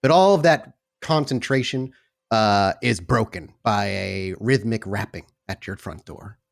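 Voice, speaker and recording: A man's voice: fairly low pitch, measured pace, gravelly. A man running a tabletop roleplaying game as storyteller, narrating and talking with the players.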